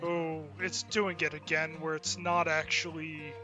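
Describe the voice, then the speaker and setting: Higher-voiced man rambling over a game. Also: little pitch movement, measured pace, over music. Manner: rambling, thinking aloud. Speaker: game streamer, host. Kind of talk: casual unscripted gameplay commentary.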